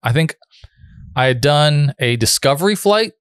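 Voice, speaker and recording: A man in his thirties talking casually as a podcast guest, telling stories in an informal, halting way. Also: a little high, animated, clean tone, no echo, studio quality. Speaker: podcast guest, former helicopter flight instructor. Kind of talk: casual conversational storytelling. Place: small furnished podcast room indoors. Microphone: broadcast microphone on boom arm, close.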